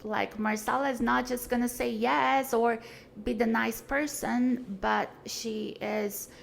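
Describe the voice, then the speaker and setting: A woman's voice: fairly high pitch, fairly even intonation, takes it slowly, gravelly, slight background noise. A woman, talking earnestly and frankly as a podcast guest, with many fillers and restarts. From Brazil. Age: 40s. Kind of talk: casual conversation.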